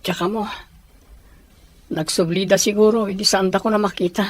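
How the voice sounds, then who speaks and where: A man playing a sheltered, sympathetic young man from a rich family in an audio drama, hesitant and gentle, with a northern Philippine accent. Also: sits high, fairly even intonation, normal speed, close to an everyday voice. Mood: shaken, wary. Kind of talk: acted audio drama dialogue. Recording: faint background noise.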